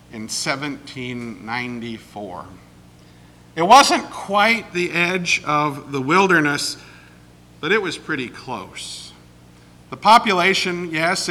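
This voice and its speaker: A man preaches slowly and deliberately, his voice a little high and bright, with a slight croak left over from a cold. Long reverb from a church sanctuary trails behind every phrase. He clears his throat every so often between his points. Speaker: pastor. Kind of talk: sermon.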